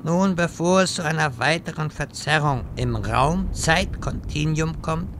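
A man's voice: fairly high pitch, measured pace, background noise, natural voice. A man playing an irritable, sardonic wizard who lectures his companions in a German audio drama parody. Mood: matter-of-fact. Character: exasperated, sarcastic male wizard guiding bumbling adventurers.

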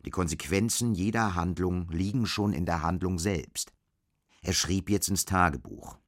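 An actor playing the sober narrator of a bleak dystopian tale in a scripted radio play. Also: male voice, low-pitched, unhurried, no echo, clean studio sound. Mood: sober, measured.

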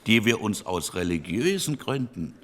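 An actor playing a measured male liberal statesman, reasoning deliberately in a parliamentary debate. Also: on the low side, sing-song, fairly bright tone. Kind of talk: formal parliamentary debate speech.

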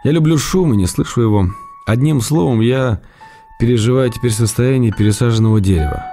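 A man playing a gently wry writer. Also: mid-range voice, fairly quick, soft background music, close to an everyday voice. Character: ailing writer answering letters, gently ironic. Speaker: writer reading his letters in a radio play. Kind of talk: radio drama letter reading.

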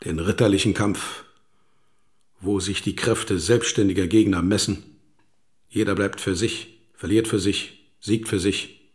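A man's voice: on the low side, steady tone, unhurried, lightly acted. A man acting a domineering, scornful father in a literary radio drama, accusing and contemptuous with emphatic delivery. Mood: cold contempt. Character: domineering, scornful tyrant father berating his son.

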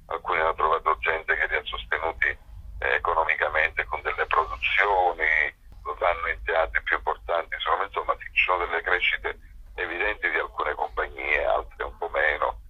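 A man's voice: a bass voice, level tone, brisk, husky, lo-fi sound. A man talking casually and enthusiastically in an interview, in a rambling, colloquial way, as a festival director.

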